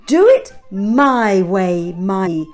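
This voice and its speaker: A woman's voice: medium pitch, very animated, drawn-out words, a little mellow, soft background music. A woman voicing a bossy, insistent young schoolgirl in a storybook, with a demanding, emphatic delivery.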